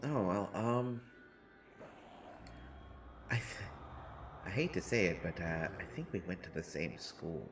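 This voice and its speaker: A man's voice: low-pitched, animated, unhurried, pauses often, noisy background. A man voicing a short teenage boy who jokes nervously and pokes fun at himself in a listener-directed audio roleplay. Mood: chatty. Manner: rambling, self-mocking, nervous humor. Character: short teenage boy, joking and self-deprecating.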